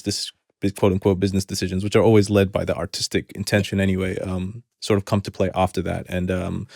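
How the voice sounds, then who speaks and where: A man's voice: lowish voice, darkish tone, dry recording, studio quality. A young man with a hybrid accent mixing Arabic, New Zealand and American sounds, talking casually and rambling in an interview with many restarts. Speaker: hip hop artist, guest.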